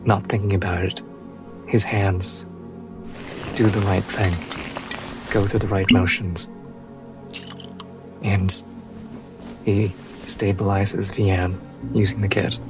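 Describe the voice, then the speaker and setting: A man's voice: on the low side, little pitch movement, takes it slowly, long pauses, poor audio. A man playing a tabletop game, narrating dramatically in the third person. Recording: music behind the voice.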